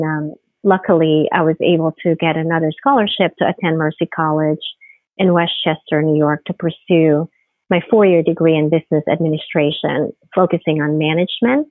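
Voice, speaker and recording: A middle-aged woman from the Philippines, a company head, talking haltingly in a podcast interview with many fillers and restarts. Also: low voice, steady tone, no room sound. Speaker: guest, firm CEO. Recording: tinny phone-like line.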